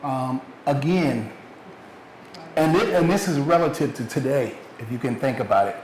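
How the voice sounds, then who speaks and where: A man's voice: mid-range voice, normal speed, darkish tone. A man co-presenting a museum lecture, earnest and repeating phrases for stress. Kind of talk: public lecture with questions. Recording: echoey room, faint background noise.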